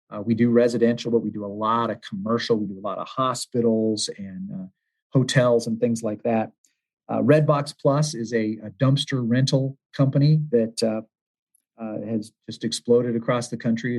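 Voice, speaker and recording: A man's voice: mid-range voice, a little mellow, rough recording. Middle-aged man explaining his business. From Western United States. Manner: friendly, explanatory, with frequent "uh". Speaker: franchise executive guest. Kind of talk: interview answers.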